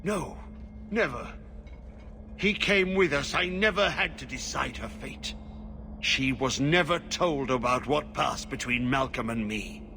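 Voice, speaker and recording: Grim old ex-commander for a fantasy game, solemn fragments, harsh forceful edge over faint background noise. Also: male voice, mid-range voice, animated, measured pace. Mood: defensive. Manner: clipped, solemn, urgent fragments. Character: grim, haunted old former Warden Commander. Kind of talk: dramatic video game acting.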